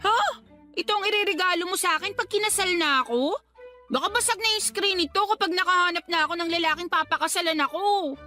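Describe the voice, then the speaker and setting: A woman's voice: very high voice, brisk, sharp tone. A woman playing a teasing young Filipina daughter in a radio drama, playful and sarcastic. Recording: light background music under dialogue.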